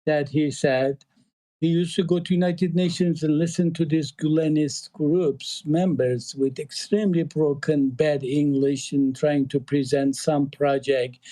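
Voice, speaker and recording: A man from eastern Turkey speaking English with a Turkish accent, talking in a casual panel discussion, halting often with fillers and restarts. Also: fairly high pitch, mellow, poor audio. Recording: online audio call.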